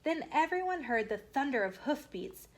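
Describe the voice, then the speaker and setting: A woman hosting storytime, reading a children's book aloud in a measured, explanatory way. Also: high voice, very animated. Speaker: storytime host.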